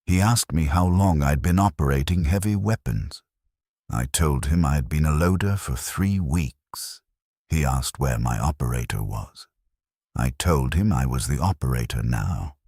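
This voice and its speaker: A man narrating a scripted first-person war story in short, measured sentences with a detached, solemn delivery. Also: extremely low pitch, darkish tone, dry recording, studio quality.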